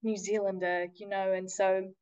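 A woman who is a teacher, a guest on a podcast, speaking casually and thoughtfully with many pauses and fillers. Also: medium pitch, little pitch movement, a little mellow, clear, resonant tone, no room sound, dull audio. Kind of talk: casual interview chat.

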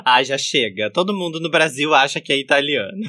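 A man hosting a casual chat, talkative with quick asides and easy laughter. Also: fairly high pitch, lively intonation, bright, forceful tone, dry recording. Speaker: podcast host.

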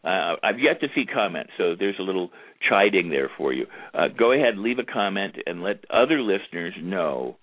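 A man's voice: low-pitched, big pitch swings, moderate pace, few pauses, dry recording. A man hosting an internet radio interview show, chatting in an easygoing way as he plugs the show and introduces his guest. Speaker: radio talk show host. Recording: tinny phone-like line, dry.

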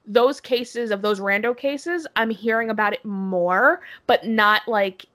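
A woman's voice: a little high, measured pace. Congested woman in casual chat. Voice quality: nasal. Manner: frank, swears freely, animated storytelling. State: congested, nasal from a cold. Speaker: podcast co-host.

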